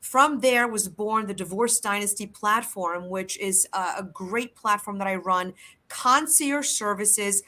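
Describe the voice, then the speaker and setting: A Polish-born woman, a family lawyer, talking upbeat and quickly as an interview guest, with Polish her first language. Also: mid-range voice, sharp tone. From Poland. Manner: upbeat and talkative, quick bursts. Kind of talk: interview conversation.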